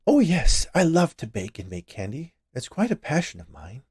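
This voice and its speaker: A man's voice: mid-range voice, wildly swooping pitch, moderate pace, slightly rough, dry recording, studio quality, pitched above the performer's own voice, exaggerated voice. A man voicing a cheerful, chatty large woman who runs a candy shop in a storybook tale. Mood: cheerful, proud. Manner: bright, chatty, eager.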